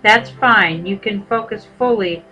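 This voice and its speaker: A woman meditation teacher leading a guided meditation in an unhurried, measured way, with pauses between short phrases. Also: medium pitch, pushed and bright, highs cut off, faint music behind.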